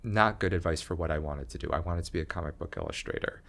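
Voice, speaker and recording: A young man talking slowly in a low voice with long pauses, chatting as a guest about his art and career. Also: fairly bright tone. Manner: slow, low-voiced, pausing talk. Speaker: comedian and graphic design teacher, guest. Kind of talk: casual interview chat.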